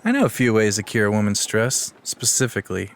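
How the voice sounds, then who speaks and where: An actor playing a smarmy, swaggering celebrity hero in an audio drama, talking in a smug, breezy, flirtatious way. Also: male voice, fairly low pitch, big pitch swings, a little fast. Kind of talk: audio drama character acting.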